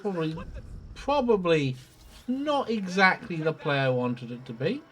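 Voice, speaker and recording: A man's voice: fairly high pitch, animated, unhurried, a little hiss or hum. A man giving casual live game commentary as a streamer, with a dry, self-deprecating, sweary manner.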